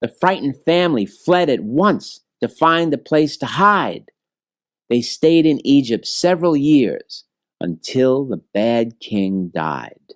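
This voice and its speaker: Guy reading a rhyming kids storybook, slow and really animated, dry close sound. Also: medium pitch, no echo. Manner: expressive, measured storytelling. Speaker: storybook reader. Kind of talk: scripted rhyming children's story narration.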